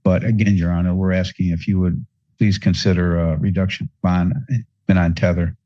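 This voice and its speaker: A man with a low voice makes a spontaneous courtroom argument as a defense lawyer. He is halting and repeats words, and the sound is dull and lo-fi, though dry. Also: flat delivery, dark tone, dry recording. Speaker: defense attorney. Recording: dull courtroom audio feed.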